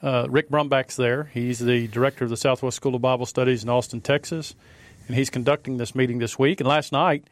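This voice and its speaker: A man co-hosting a morning religious radio show, giving event announcements in a steady, measured way. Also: medium pitch, no echo. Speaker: radio co-host. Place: radio studio.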